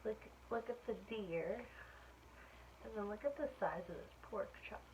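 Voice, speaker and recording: A woman reading a children's picture book aloud expressively, pausing to put questions to her listeners. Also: mid-range voice, darkish tone. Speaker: storytime reader. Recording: muffled lo-fi sound with faint noise.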